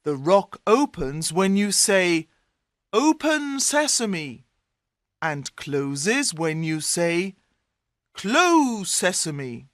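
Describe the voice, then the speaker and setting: Woman as very slow, earnest fairy-tale hero. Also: fairly low pitch, very animated, dry recording, clean studio sound, full character voice. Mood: urgent warning. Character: Ali Baba, cautious kindly man, fairy-tale hero. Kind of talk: storybook character voice.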